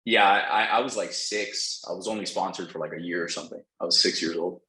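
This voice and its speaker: A native Spanish-speaking man with a slightly low voice talks informally as an interview guest. He uses slang and curses as he tells stories, in a roomy, echoing recording. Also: brisk, pushed and bright. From Venezuela. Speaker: podcast guest, real estate entrepreneur. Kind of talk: casual interview storytelling.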